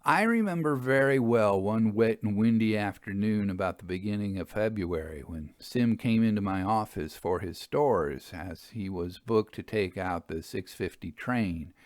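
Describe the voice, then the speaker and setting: A man with a lowish voice reads a Victorian ghost tale as an audiobook narrator. His delivery is measured, expressive and dramatic, and the recording is dry and close. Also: dry recording. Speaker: storyteller, narrator.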